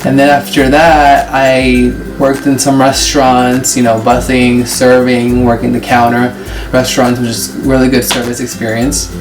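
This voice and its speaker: A man's voice: mid-range voice, monotone, measured pace. A man in his late twenties answering viewer questions in a casual vlog, chatty and explaining things step by step. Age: 20s. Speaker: vlogger and flight attendant. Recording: distant mic with loud music behind. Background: music.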